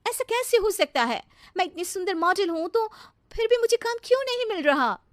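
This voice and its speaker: A woman's voice: very high-pitched, very animated, moderate pace, fairly bright tone, dry recording, clean studio sound, pitched above the performer's own voice, heavily acted. A woman voicing a spoiled, haughty young married model in a cartoon story, snappy and whining, dropping in English phrases. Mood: whining, upset. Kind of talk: cartoon moral-story dialogue.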